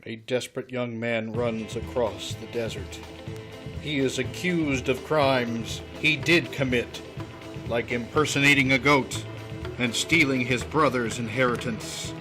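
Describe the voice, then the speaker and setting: A performer putting on an overdramatic, deadpan narrator in a scripted comic adventure spoof, speaking slowly and portentously. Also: mid-range voice, music in the background, close to an everyday voice.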